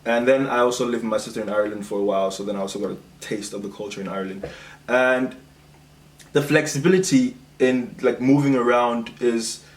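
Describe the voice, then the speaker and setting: A man's voice: mid-range voice, steady tone, brisk. A young man from Cameroon chatting casually and explaining his views in an informal way, as the host of a video discussion. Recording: echoey room with some background noise.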